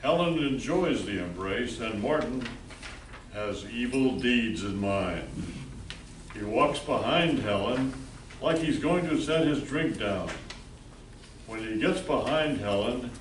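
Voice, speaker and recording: A man narrating an amateur play from a script, with deliberate storytelling delivery. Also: mid-range voice, animated. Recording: roomy, distant mic with background noise.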